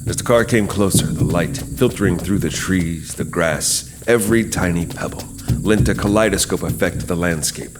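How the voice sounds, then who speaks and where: A man's voice: sits low, moderate pace, loud music behind the voice. A man, host and narrator of a horror audio drama, speaking in a scripted, dramatic storytelling way.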